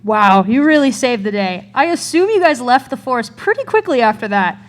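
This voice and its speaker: A woman's voice: fairly high pitch, very animated, fairly quick. A young woman acting as a chatty host of a tall-tale interview show in a student radio play. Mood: upbeat, wrapping up. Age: young adult.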